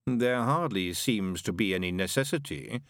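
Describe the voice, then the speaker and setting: A man's voice: fairly low pitch, expressive, normal speed, a bit bright, no room sound, studio quality. Man playing a pompous, imperious heavenly spokesman. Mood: cool, testy. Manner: stately, formal, coolly insistent.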